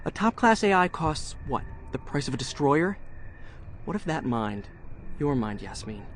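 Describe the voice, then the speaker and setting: A man's voice: a little high, very animated, a little slow. A man playing an angry, bitterly sarcastic older brother in an audio drama. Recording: drama clip with loud background noise.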